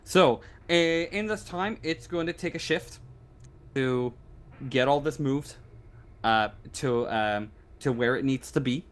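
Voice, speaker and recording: A man running a tabletop roleplaying game as game master, improvising narration in a halting way, searching for words. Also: mid-range voice.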